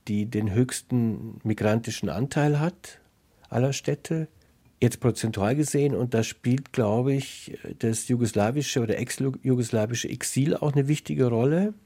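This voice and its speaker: A male interviewer on an arts radio programme. His voice is mellow and somewhat husky, at a middle pitch, and he puts his questions in a reflective, deliberate way. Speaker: host, interviewer.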